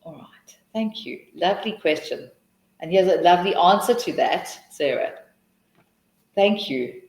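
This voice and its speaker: A South African woman with a slightly low voice, tutoring in a live webinar Q&A. She talks in an encouraging, chatty way, often restarting her sentences. Her voice rings in a roomy, echoey space. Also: animated, measured pace, vocal fry, a little mellow. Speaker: webinar tutor answering questions.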